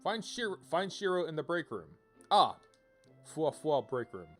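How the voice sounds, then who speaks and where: A man's voice: on the high side, sing-song, moderate pace, a bit bright, soft background music. A man giving casual, rambling game livestream commentary, with wry playful asides as he reads on-screen text aloud.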